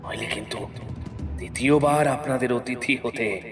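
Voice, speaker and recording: A man playing a stern, sorrowful, quietly menacing ghost in an audio horror story, speaking in a measured, deliberate way. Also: mid-range voice, music in the background, close to an everyday voice. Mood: grave warning.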